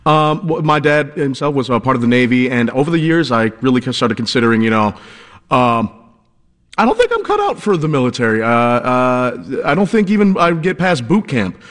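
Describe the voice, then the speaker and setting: A man filling in as a radio co-host, telling a story in a halting way, full of fillers and restarts. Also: medium pitch, big pitch swings, fairly bright tone, long reverb tail.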